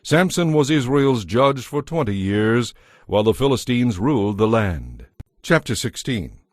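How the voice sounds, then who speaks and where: A man narrating a dramatized scripture audiobook in a measured, solemn storytelling manner. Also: mid-range voice, very animated, no room sound, studio quality.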